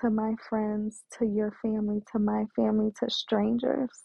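A woman's voice: medium pitch, flat delivery, moderate pace, gravelly, mellow, no echo. A woman telling her own life story in a hesitant way, with many fillers and restarts. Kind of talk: personal storytelling. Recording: tinny phone-like dry sound.

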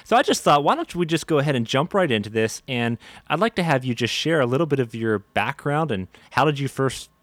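A man's voice: mid-range voice, lively intonation, a little fast, few pauses, no echo. A man hosting a podcast, introducing his guest with upbeat enthusiasm. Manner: enthusiastic, with fillers and restarts. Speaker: podcast host. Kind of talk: casual interview hosting.

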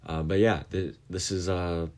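A man's voice: deep voice, little pitch movement, unhurried, darkish tone. A man of nineteen, host of his own podcast, talking casually about it with many fillers and restarts. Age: late teens. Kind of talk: casual monologue.

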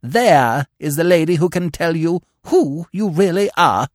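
A man's voice: on the high side, animated, measured pace, no echo, studio quality, voice pushed up. A man putting on a fussy prim spinster governess. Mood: indignant. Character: flustered prim spinster governess, middle-aged woman.

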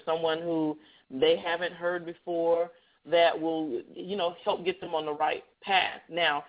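A male radio show host with a heavy voice, chatting loosely in an interview. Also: fairly high pitch. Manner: says he has a heavy voice; chatty, rambling with restarts. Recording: tinny phone-like line.

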